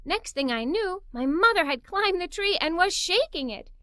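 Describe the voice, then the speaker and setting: A woman narrating a children's story aloud in an expressive, playful way. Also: extremely high pitch, normal speed, no room sound, studio quality.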